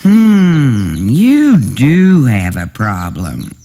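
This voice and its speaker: A man's voice: mid-range voice, huge pitch swings, extremely slow, gravelly, mellow, full character voice. A man voicing a cheerful, teasing male neighbor in a storybook, making playful declarations. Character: cheerful, teasing male neighbor Mister Red, a house painter.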